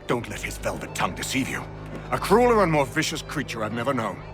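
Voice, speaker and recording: A man's voice: medium pitch, sing-song, fast talker. A man voicing a bitter, spiteful freed captive in a game cutscene, with music behind. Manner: agitated, spiteful, shouting threats. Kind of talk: video game cutscene acting.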